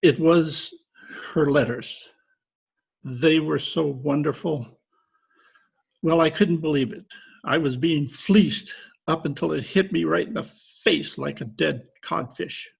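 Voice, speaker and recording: Man playing a gravelly, aggrieved small-town fisherman. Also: a little high, full character voice. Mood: halting, indignant. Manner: folksy, halting. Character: excitable, aggrieved small-town lobsterman from Maine. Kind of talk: old-time radio detective drama acting. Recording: remote video-call recording.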